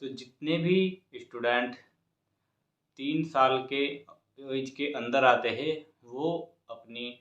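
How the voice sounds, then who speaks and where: A man's voice: mid-range voice, a bit bright. A man giving students an informal exam update in a repetitive, encouraging manner. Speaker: exam coaching YouTuber and host. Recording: echoey room, dull muffled sound.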